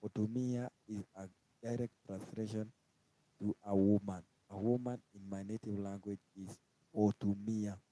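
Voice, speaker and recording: A man from Kenya with a Kenyan accent, giving a casual language lesson and slowly repeating each word. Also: lowish voice, steady tone, mellow, no room sound, low-quality recording. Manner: slow, repetitive, spells out each word twice. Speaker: language teacher. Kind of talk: informal live-stream language lesson.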